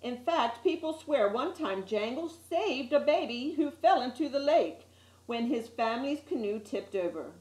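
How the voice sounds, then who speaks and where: A woman reading a children's picture book aloud as an expressive storyteller, with little asides to her listeners. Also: sits high, unhurried. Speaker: story time reader. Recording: echoey room.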